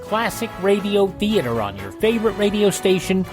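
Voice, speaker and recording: A man's voice: sits high, sing-song, normal speed, music in the background. A man hosting a radio show in a casual, folksy, conversational style. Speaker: radio host.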